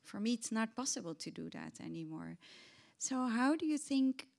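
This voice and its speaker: A woman's voice: fairly high pitch, expressive, normal speed, vocal fry, darkish tone. Panel moderator, woman, English, questions that search and rephrase. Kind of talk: panel discussion. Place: event hall on stage.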